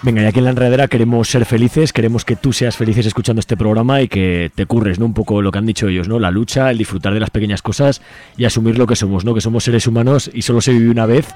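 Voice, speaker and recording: A man's voice: lowish voice, steady tone, fast talker, mellow, soft background music. A man with an accent from Spain hosting live radio casually, encouraging, tagging his phrases with little questions. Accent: Spanish (Spain). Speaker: radio host.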